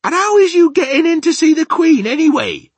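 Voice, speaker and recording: A man voicing a big, gentle but stubborn storybook giant who talks in muddled grammar and invented words. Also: very high voice, very animated, a little fast, gravelly, no room sound, pitched above the performer's own voice, heavily acted. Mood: exasperated. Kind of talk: children's storybook character reading.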